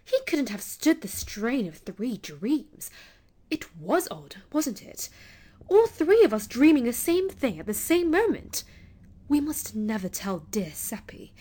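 A woman's voice: fairly high pitch, a little mellow, voice pushed up. A woman voicing a bold, prim maiden aunt in a storybook reading, with a firm, confiding manner.

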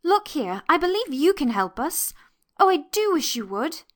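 Woman as an earnest, high, lilting young girl. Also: sing-song, normal speed, fairly bright tone. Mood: pleading. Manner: urgent, pleading. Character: earnest, anxious young girl, caring and bossy.

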